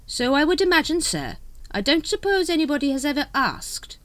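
A woman's voice: high-pitched, big pitch swings, a little fast, a bit bright, dry recording, voice pushed up. A woman voicing a deferential male aide who primly informs his lord, in an audiobook. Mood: politely informative. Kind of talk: audiobook character voice.

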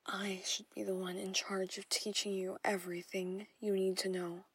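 A woman's voice: mid-range voice, level tone, moderate pace, no room sound. A woman in a whispered audio roleplay, playing a jealous, possessive stepmother who coaxes and controls. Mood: soothing, possessive. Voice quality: breathy whisper. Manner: intimate whispering, coaxing and controlling.

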